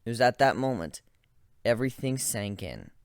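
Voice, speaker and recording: Guy reading a story out loud dramatically, really lively pitch, dry close sound. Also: normal speed, no room sound. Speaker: narrator of a fan fiction dramatic reading.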